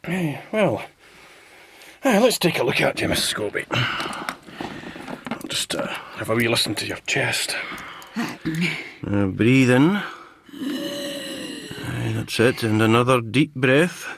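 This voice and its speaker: An actor playing a brisk, blunt Scottish country doctor in a naturalistic radio drama, plain-spoken with hesitant starts. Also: male voice, medium pitch, wildly swooping pitch, gravelly, background noise, full character voice. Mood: brisk, professional.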